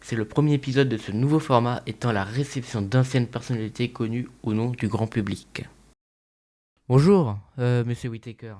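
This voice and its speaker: A man's voice: mid-range voice, normal speed, mellow, natural voice. A man playing a news interviewer who welcomes his guest formally and asks questions with frequent hesitations. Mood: polite. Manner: polite, formal, many hesitation sounds. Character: male news agency interviewer, polite and formal. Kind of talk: roleplay interview.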